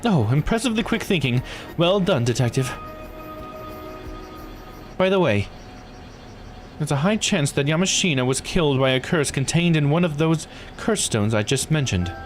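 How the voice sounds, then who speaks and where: A man's voice: on the high side, expressive, normal speed, long pauses, over music, pitched above the performer's own voice, natural voice. A man voicing a composed, formal investigator in a visual novel, speaking politely and precisely.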